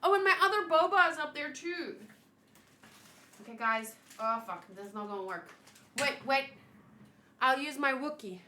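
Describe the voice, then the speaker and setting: Casting: female livestream host, high voice, casual and exclamatory, chats off the cuff with viewers. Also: moderate pace, pauses often, a little hiss or hum. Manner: animated, exclamatory, thinking aloud. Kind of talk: casual livestream chat, reading and answering viewers.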